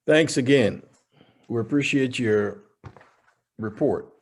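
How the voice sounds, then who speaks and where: A man's voice: medium pitch, slow pace, slightly rough. A man chairing a city meeting as mayor, brief and procedural.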